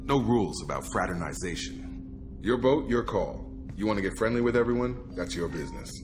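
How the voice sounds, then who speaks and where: A man's voice: lowish voice, animated, fairly quick, over music, natural voice. An actor playing a blunt, guarded male soldier in a video game, plain-spoken and loyal. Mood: guarded, frank.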